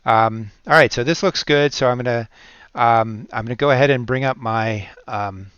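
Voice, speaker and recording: American guy doing a screen tutorial, clean smooth voice, bit muffled dry mic, talks himself thru the steps. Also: mid-range voice, normal speed, a bit bright, dry recording. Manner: thinks aloud while working through steps. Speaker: software tutorial presenter. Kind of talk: step-by-step screen-recording tutorial narration, informal.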